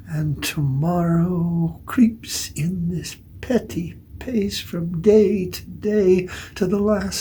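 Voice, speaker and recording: Woman performing very slow, anguished Shakespearean tragedy. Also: on the low side, dark tone. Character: anguished, world-weary tragic Shakespearean monarch facing death. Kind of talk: classical Shakespearean soliloquy. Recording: noticeable background noise.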